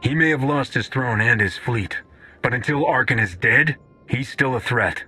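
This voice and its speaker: A man's voice: mid-range voice, moderate pace, bright, forceful tone, slightly rough, faint music behind. A man playing a roguish, defiant starship captain with a grudge in a video game cutscene. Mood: grim. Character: roguish male commander and ship captain, vengeful, defiant.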